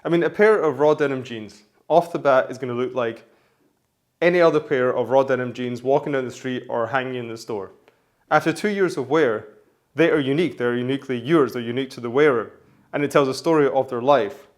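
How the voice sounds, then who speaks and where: A man hosting a menswear vlog, talking casually and rambling with many restarts, his voice hoarse from the flu. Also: mid-range voice, fairly quick. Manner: rambling, chatty, frequent restarts. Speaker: menswear vlogger.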